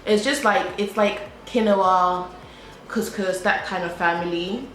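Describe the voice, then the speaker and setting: A woman's voice: mid-range voice, unhurried, a bit bright. A young British woman chatting to camera in a casual vlog while eating, talking in a loose, repetitive way full of "like". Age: young adult. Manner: chatty, repeats herself, frequent "like". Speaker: vlogger. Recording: echoey room with faint background hum.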